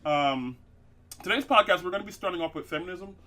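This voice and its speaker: A man's voice: high-pitched, a little fast, sharp tone. A man hosting a casual comedy podcast, rambling with many fillers and restarts and laughing at his own jokes. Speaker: podcast host.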